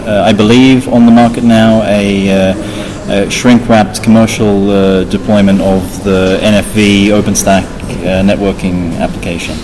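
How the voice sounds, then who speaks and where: A man with a low voice gives an informal technical explanation as an interview guest. He goes step by step, often restarting phrases, over clear background noise. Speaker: interview guest, software developer.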